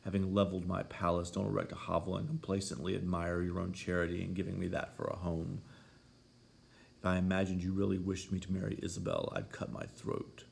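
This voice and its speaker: A man's voice: deep voice, steady tone, brisk, vocal fry. A man voicing a brooding, vengeful villain in a story, growling, bitter and defiant.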